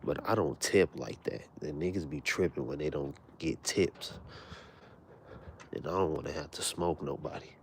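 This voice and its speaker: A young man with a very deep, low voice talks in an unhurried, rambling way, cursing freely as he tells stories. He keeps his volume down so nobody in the house wakes, but his deep voice still carries. It sounds like a casual late-night vlog recorded at home. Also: creaky, a little hiss or hum. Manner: laid-back, rambling, profane, speaking low and near a whisper at times. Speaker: vlogger.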